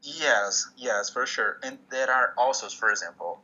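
Bright-voiced Portuguese-speaking man, hesitant English. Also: mid-range voice, measured pace, slightly rough. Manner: hesitant, restarts often, searching for English words. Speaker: musician guest. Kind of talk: interview conversation. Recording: dull lo-fi sound.

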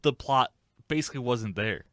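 A man's voice: mid-range voice, moderate pace, a bit bright, no echo. Man chatting in a dry room. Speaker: film review podcast panelist. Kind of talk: casual group chat.

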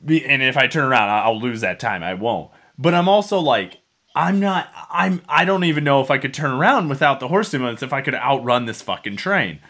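A man's voice: mid-range voice, animated, a little fast, pushed and bright. A man telling a story casually as a podcast co-host, rambling and swearing freely.

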